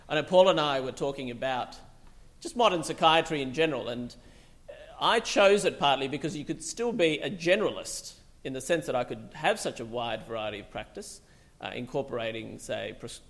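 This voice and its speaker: Gravelly man speaking loosely. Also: mid-range voice. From Bangladesh. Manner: loose, conversational, many fillers and restarts. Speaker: psychiatrist giving a talk. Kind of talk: public talk.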